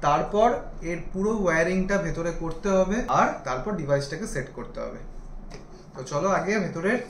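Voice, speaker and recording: A man's voice: on the high side, brisk. A man giving a casual, explanatory hands-on product demonstration, talking straight to his viewers. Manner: explanatory, addresses viewers directly. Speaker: motovlogger reviewing gear. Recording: echoey room, faint background noise.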